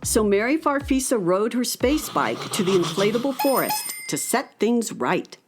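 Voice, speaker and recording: A woman's voice: a little high, very animated, normal speed, music in the background. A woman narrator reading scripted storytelling narration for a children's radio play.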